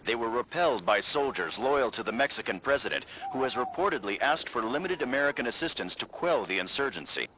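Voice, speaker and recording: A man's voice: medium pitch, animated, brisk, few pauses, pushed and bright. Sober newsman, noisy feed. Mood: sober, factual. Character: male TV news reporter, sober, factual. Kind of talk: news report within a video game.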